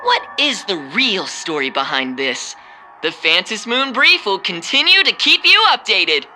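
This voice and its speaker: A woman's voice: mid-range voice, big pitch swings, moderate pace, pushed and bright, faint music behind. A woman playing an emphatic, insinuating TV news anchor reading dramatic bulletins in a video game. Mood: grave, insinuating. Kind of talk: dramatic scripted news broadcast.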